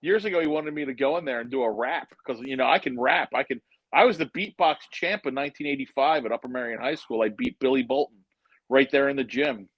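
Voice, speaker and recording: A man's voice: medium pitch, fast, husky, no room sound. A man in his fifties chatting casually as a guest and telling rambling, joking stories. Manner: joking, rambling storyteller, speeds up when excited. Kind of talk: casual chat, storytelling.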